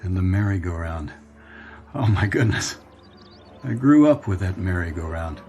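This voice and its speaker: A man's voice: low voice, animated, a little mellow. An actor playing a troubled, nostalgic grown man longing for his boyhood in a radio drama, earnest and wistful. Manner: earnest, wistful, halting when distressed. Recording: radio drama with music behind.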